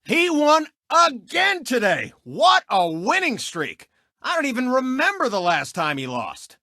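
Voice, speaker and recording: A man's voice: very high voice, huge pitch swings, a little slow, sharp tone, no room sound, studio quality, full character voice. A man voicing a cocky, gloating cartoon race car who hosts a sports show in a video game, brash and theatrical. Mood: showy sportscasting. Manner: brash, smug, theatrical showman. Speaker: racer and TV racing commentator. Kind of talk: animated video game sports commentary and taunts.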